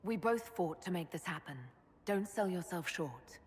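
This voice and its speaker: Composed, slightly husky woman leader. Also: lowish voice, expressive, normal speed. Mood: encouraging. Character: composed, supportive female mage leader. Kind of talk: dramatic game voice acting. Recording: long reverb.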